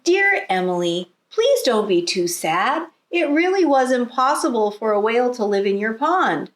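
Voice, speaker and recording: A woman's voice: a little high, sing-song, normal speed. A woman voicing a polite, firm male schoolteacher in a storybook, patient, formal and matter-of-fact. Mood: consoling. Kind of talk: storybook read-aloud.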